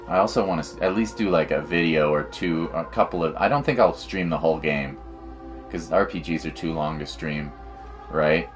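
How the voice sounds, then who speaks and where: A male game streamer with a low-pitched voice gives casual live commentary. He rambles informally through his thoughts, with game music playing faintly behind him.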